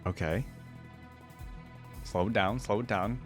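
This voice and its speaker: A man's voice: on the low side, slow pace. A man giving casual reaction commentary as a channel host, informal and thinking aloud. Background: music from the video being watched.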